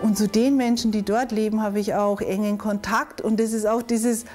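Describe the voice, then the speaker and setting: A woman's voice: medium pitch, steady tone, moderate pace, a little mellow, soft background music. A woman in her forties explaining her work in an interview, recorded on a lapel mic in a community room. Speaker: social worker at a neighbourhood center.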